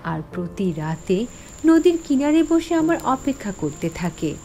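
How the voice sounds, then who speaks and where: A woman's voice: medium pitch, big pitch swings, measured pace, vocal fry, mellow, slight background noise. A woman voicing the sorrowful ghost of a young village teacher, telling her story dramatically in a horror cartoon. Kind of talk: dramatic first-person horror story narration. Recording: background music under the voice.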